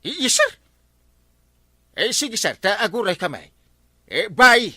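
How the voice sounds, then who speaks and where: A man's voice: high voice, sing-song, measured pace, bright, forceful tone, husky, no echo, full character voice. A man with an Ilocano accent playing a bumbling, easily flustered village captain in a comedy drama, hesitant and stammering. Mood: deferential, excited.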